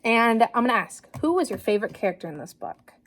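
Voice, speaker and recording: A woman reading a children's story aloud like a teacher, animated and encouraging, pausing to put questions directly to young listeners. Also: fairly high pitch.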